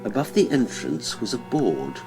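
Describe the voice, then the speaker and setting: A man narrating a classic horror story in a measured, deliberate, dramatic way with weighty pauses. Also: mid-range voice, very animated. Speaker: audiobook narrator and podcast host. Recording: music behind the voice. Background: music.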